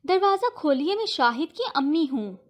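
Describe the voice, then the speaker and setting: A woman's voice: sits high, very animated, moderate pace, pitched above the performer's own voice. A woman voicing an angry neighbourhood mother who has come to complain in an indignant, scolding manner, in a children's moral story.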